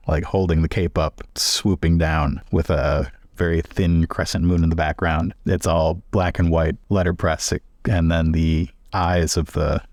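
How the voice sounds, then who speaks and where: A man's voice: low-pitched, a little mellow, no room sound, studio quality. A man playing a tabletop game, casually improvising and describing what his character does in the third person.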